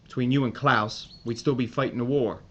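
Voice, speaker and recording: An actor playing a hard, determined American army major giving clipped orders in a World War II audio drama. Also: male voice, mid-range voice, fairly even intonation, brisk, fairly bright tone, dull audio, slight background noise, natural voice. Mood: defiant, determined. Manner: commanding, clipped military radio orders.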